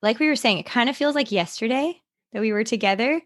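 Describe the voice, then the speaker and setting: A woman's voice: fairly high pitch, fast talker, a bit bright. A woman hosting a podcast in an enthusiastic, friendly way, with a slightly scratchy voice. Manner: enthusiastic, gushing. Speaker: podcast host. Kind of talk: casual friendly interview chat.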